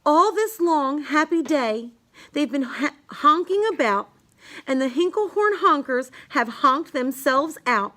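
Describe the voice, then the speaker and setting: Female storybook reader, extremely high voice, unhurried singsong delivery of children's verse. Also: expressive, fairly bright tone. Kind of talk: read-aloud of a rhyming children's picture book.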